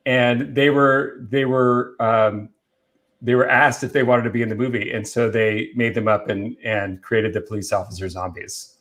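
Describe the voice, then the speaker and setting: A man's voice: medium pitch, steady tone, moderate pace, fairly bright tone. A man chatting casually and enthusiastically as a guest presenter, telling bits of film trivia.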